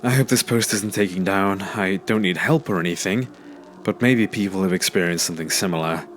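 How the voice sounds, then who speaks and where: A man's voice: sits low, brisk, a little hiss or hum. A man reading a first-person scary story aloud as a scripted narration. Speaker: narrator reading submitted ghost stories. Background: music.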